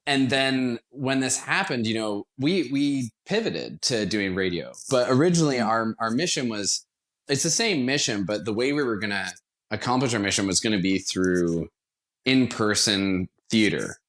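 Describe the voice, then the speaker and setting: Young american guy on a podcast, kinda low voice, chatty storytelling, jokes at his own expense. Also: animated, normal speed, a bit bright. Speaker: podcast guest, actor and theater company founder. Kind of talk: conversational interview storytelling.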